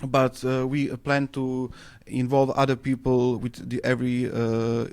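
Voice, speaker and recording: A man from Serbia, speaking English as a second language, answering radio interview questions with many pauses and fillers. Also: medium pitch, completely flat delivery, darkish tone, clear, resonant tone. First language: Serbo-Croatian. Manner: hesitant, many fillers and restarts. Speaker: interview guest, anarchist newspaper editor.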